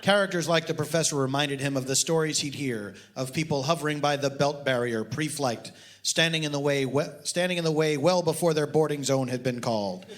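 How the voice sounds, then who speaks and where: Guy reading a silly novel onstage, deadpan and pompous, slightly high voice. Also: brisk. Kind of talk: mock-serious story reading before a live audience. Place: live stage show.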